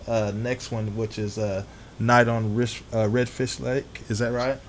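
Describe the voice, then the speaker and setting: A man's voice: mid-range voice, level tone, unhurried. A man hosting a live radio show, upbeat and loose, rolling through the segment with plenty of fillers.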